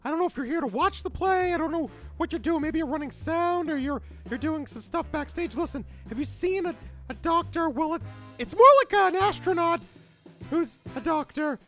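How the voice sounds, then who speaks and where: A man's voice: very high voice, expressive, moderate pace, pushed and bright, faint music behind, heavily acted. A man playing a flustered, exasperated teacher who stammers and interrupts himself, in an improvised comic cartoon style. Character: flustered, exasperated male teacher hunting for his costume. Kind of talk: improvised comic character acting.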